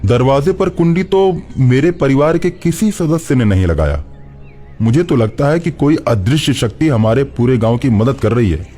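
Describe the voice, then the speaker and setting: A man's voice: medium pitch, mellow, lightly acted. A man playing an earnest, reverent village headman who speaks thoughtfully and persuasively in a cartoon moral story. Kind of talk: animated Hindi moral story dialogue.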